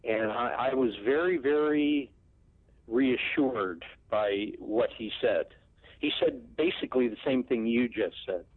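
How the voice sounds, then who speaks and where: A man hosts a radio talk show, drawing out his thoughts at a very slow, measured pace. His voice comes through dry and tinny, as if over a phone line. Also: mid-range voice, no room sound. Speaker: radio host.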